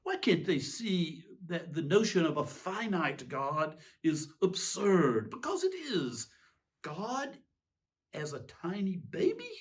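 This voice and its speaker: A man's voice: sits high, sing-song, slow, pitched above the performer's own voice, heavily acted. A man playing a weary, self-pitying tyrant king in a theatrical monologue, fussy, pompous and plaintive. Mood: weary, exasperated. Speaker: reader giving a dramatic reading. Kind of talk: theatrical dramatic monologue reading.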